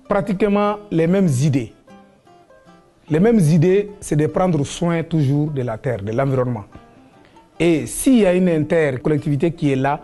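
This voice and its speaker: Mellow West African man explaining carefully. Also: a little high. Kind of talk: documentary interview answer.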